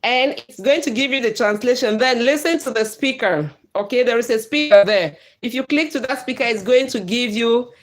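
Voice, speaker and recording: A Cameroonian-accented woman whose first language is Bakweri, hosting a live stream, reading out viewer comments in a lively way with frequent laughs and pidgin phrases. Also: on the high side, normal speed, few pauses. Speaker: livestream host.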